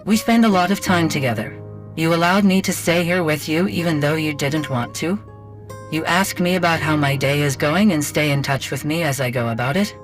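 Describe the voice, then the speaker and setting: A man's voice: fairly high pitch, normal speed, faint music behind, voice pushed up, close to an everyday voice. A man voicing an earnest, literal-minded female artificial person who speaks in blunt, formal, methodical phrases. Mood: earnest, reasoning.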